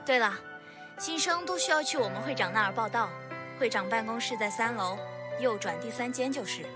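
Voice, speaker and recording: Woman voicing a courteous young academy guide, loud music. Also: on the high side, lively intonation, fairly quick, close to an everyday voice. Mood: polite, welcoming. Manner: polite, welcoming, formal. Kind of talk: visual novel game voice acting. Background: game music.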